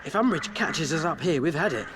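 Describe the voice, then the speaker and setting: An actor playing an eager, loyal, sometimes grumbling teenage boy in a video game, excitable and colloquial, with a British accent. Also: a little high, a little fast, lightly acted. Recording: noisy outdoor ambience behind.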